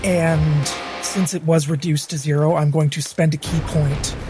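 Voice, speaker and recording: Lower-voiced woman playing a tabletop game. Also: fairly even intonation, measured pace. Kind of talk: casual game talk, explaining rules. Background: background music.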